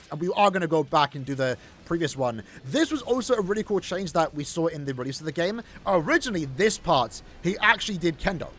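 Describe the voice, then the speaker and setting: Guy with a pretty high voice chatting over gameplay, bit of music in the back. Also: fairly bright tone. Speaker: gaming video host. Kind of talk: casual gameplay commentary.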